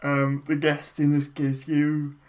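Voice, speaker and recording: A young man hosting a casual podcast interview, relaxed and asking follow-up questions. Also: a little high, takes it slowly. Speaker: podcast host.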